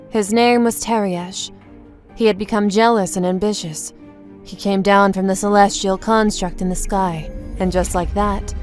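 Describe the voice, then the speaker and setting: Lady playing serene mystical guru woman, audio comic drama, measured n solemn, music behind her. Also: medium pitch, lightly acted. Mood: solemn storytelling. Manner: measured, solemn, explanatory delivery. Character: serene mystical woman guru from another realm, gravely explaining. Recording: music under the voice.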